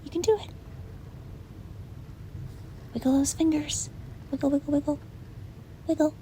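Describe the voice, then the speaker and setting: A woman playing a reluctant, apologetic sleep paralysis demon in a soft, hesitant, breathy ASMR whisper. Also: high voice, flat delivery, unhurried. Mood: encouraging. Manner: hesitant, rambling, soft-spoken. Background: rain and wind.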